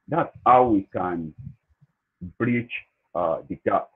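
A man with a Nigerian accent, a native Yoruba speaker, talks slowly and hesitantly in a low, husky voice. He is a panelist on a video call. The audio sounds thin and phone-like. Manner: slow, hesitant, plain-spoken.

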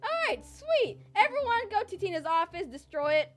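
A woman's voice: very high-pitched, very animated, moderate pace. A young woman playing a bossy, power-hungry girl turning evil in an improvised Minecraft roleplay. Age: young adult. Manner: bossy, demanding. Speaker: gaming video host and player. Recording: music behind the voice.

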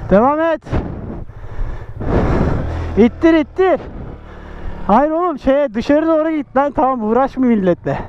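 A young man with a high voice jokes, swears and barks orders at his friends on an open beach at dawn. The muffled, lo-fi sound comes from a body-worn action camera. Loud wind and motorcycle noise run underneath. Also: expressive, a little slow, long pauses. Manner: joking, bossy, swears freely, laughs often. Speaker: motovlogger. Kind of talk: casual chat with friends.

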